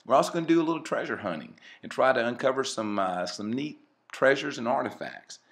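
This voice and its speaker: A man's voice: medium pitch, animated, fairly quick, a bit bright. A man, casually narrating a channel intro with many fillers and restarts. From Mississippi. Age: 40s. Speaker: channel co-host.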